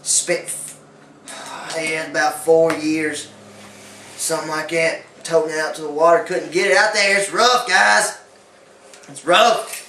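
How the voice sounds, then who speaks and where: A folksy castaway, played by a man talks in rough, plain grammar. His voice sits a bit high and stays flat, with an echoey sound from far off the mic. A faint hiss runs behind him. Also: measured pace. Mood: dramatic. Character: rough castaway man back from years alone. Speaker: talk show guest.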